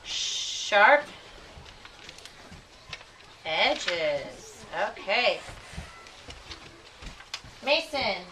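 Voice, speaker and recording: A woman teaching elementary pupils in a classroom, asking questions and repeating answers deliberately with encouraging endearments. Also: medium pitch, big pitch swings. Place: classroom with children. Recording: distant mic, clearly audible room noise.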